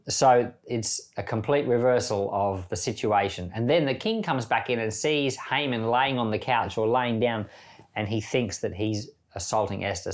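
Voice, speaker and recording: A middle-aged man who reads a Bible passage aloud, then talks it through in a relaxed way, thinking aloud with small fillers. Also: fairly low pitch. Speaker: church Bible reader. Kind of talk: scripture reading and informal commentary.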